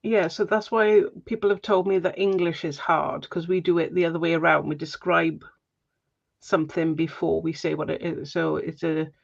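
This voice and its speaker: A woman's voice: fairly low pitch, fairly even intonation, normal speed, creaky, dull audio. A Welsh woman hosting a crafting livestream, chatting casually and chuckling as she greets viewers. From Wales. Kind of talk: casual chat while crafting.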